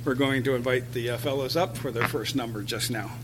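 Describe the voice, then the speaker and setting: A man leading a church service, quietly announcing hymn numbers and thanking the musicians in a sanctuary. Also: medium pitch, brisk, low-quality recording. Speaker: song leader. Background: instrumental music.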